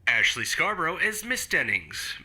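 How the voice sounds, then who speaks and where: Male announcer reading credits, very bright voice, lively pitch. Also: normal speed, husky.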